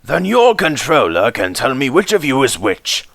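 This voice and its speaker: A man's voice: medium pitch, big pitch swings, moderate pace, gravelly, fairly bright tone, no echo, pitched above the performer's own voice, exaggerated voice. A man voicing a stern, exasperated railway controller with a commanding, emphatic manner in a storybook reading.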